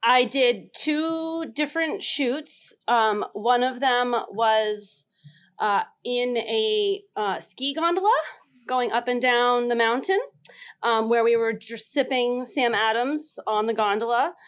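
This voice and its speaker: A woman telling a casual story as a contestant on a panel game, halting and giggly. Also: a little high, clean tone.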